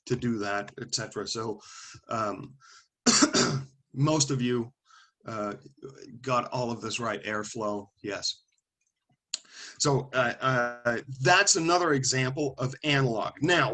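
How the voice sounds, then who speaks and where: A man's voice: medium pitch, sharp tone. Man lecturing a class, thinking aloud. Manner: conversational, thinking aloud with restarts and asides. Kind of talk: classroom lecture with student questions.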